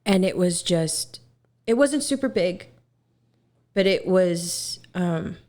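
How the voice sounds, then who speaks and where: A woman in her twenties from Texas, a singer talking as a podcast guest in an earnest way, often pausing with fillers as she searches for words. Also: lowish voice, animated, darkish tone. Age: 20s. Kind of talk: casual podcast conversation.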